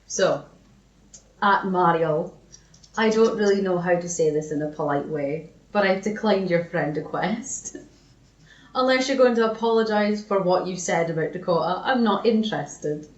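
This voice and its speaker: A woman's voice: lowish voice, normal speed. A woman co-hosting a podcast, chatting casually and reading old messages aloud. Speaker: podcast co-host. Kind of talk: casual chat and dramatic reading of old arguments. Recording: dull, echoey room sound.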